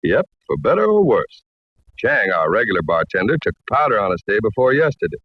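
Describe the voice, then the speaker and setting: Rugged cowboy barman, husky, lively intonation, polite, easygoing, old radio drama. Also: fairly low pitch, a little fast, dry recording. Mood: earnest. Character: folksy, courteous cowboy bartender, rugged and easygoing. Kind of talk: 1940s radio acting. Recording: dull vintage radio recording.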